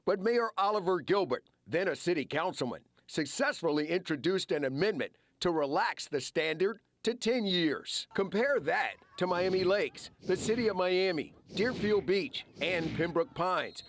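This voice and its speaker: Male American investigative reporter, higher-pitched, lively scripted news narration, dry close sound. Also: moderate pace, few pauses, no echo.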